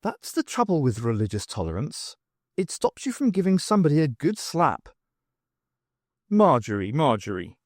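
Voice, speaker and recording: A man reading a first-person story aloud as an expressive audiobook narrator, with wry, dramatic, emphatic phrasing. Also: on the high side, normal speed, dry recording, clean studio sound. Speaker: audiobook reader.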